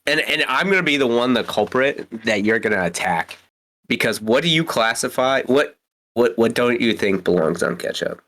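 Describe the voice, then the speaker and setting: A man's voice: mid-range voice, lively intonation, moderate pace, fairly bright tone. A man on a casual podcast who teases the others and swears freely. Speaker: podcast co-host. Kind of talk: casual chat.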